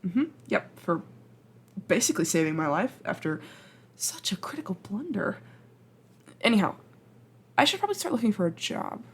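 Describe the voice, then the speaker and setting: A woman's voice: medium pitch, very animated, normal speed, pauses often, slightly rough. A woman playing a flustered, apologetic time traveler from the future in an ASMR roleplay, speaking hesitantly, stammering and rambling in a self-deprecating way. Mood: earnest, bashful. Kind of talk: ASMR roleplay acting, intimate and conversational.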